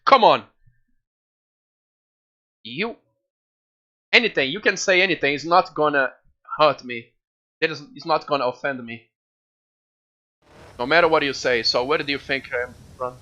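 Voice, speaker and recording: A man with a Brazilian Portuguese accent, a game streamer chatting casually and excitedly with viewers, swearing freely. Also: on the high side, a little slow, pauses often, dull audio.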